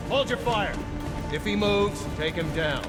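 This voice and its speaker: A man's voice: high voice, lively intonation, unhurried, loud music behind the voice. An actor playing a hostile, tough male police officer in a video game, barking orders. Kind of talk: video game cutscene acting. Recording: loud score behind voice.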